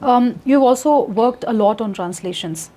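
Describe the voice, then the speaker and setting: Higher-voiced woman interviewer, hesitant questions. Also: lively intonation, normal speed, warm tone. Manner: hesitant, many fillers.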